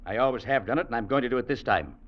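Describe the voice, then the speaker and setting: An actor playing a hard, dutiful Mountie sergeant who talks curtly and sternly, in 1940s radio drama style. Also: male voice, mid-range voice, racing, close to an everyday voice. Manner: curt, stern and unyielding. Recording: dull old radio recording, faint hiss.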